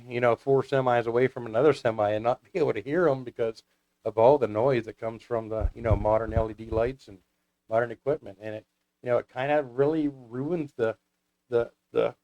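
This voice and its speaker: A man's voice: mid-range voice, darkish tone, lo-fi sound. A man talking casually as a guest about his hobby, in a folksy, rambling storytelling way. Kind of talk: casual hobby talk with anecdotes.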